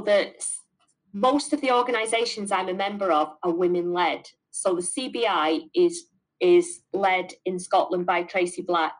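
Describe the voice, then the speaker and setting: A woman's voice: fairly low pitch, low-quality recording. A middle-aged woman with a broad Mancunian accent speaks in an interview about running her company. She talks frankly and with emphasis, hammering points home by repeating words. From north of England. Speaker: business owner being interviewed. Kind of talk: candid interview answers.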